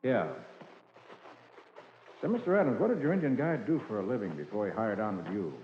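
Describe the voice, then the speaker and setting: An actor playing a cool, observant private detective in a radio drama, with a matter-of-fact, questioning manner. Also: male voice, on the low side, expressive, fairly quick, lightly acted. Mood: inquisitive. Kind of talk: 1950s radio drama acting. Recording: echoey, muffled, noisy old radio recording.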